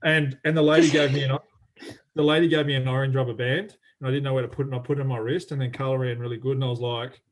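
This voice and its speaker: Rambling coach on a video call. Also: male voice, medium pitch, little pitch movement, racing, poor audio. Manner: rambling, lots of fillers and restarts. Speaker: athletics coach. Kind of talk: casual video call interview.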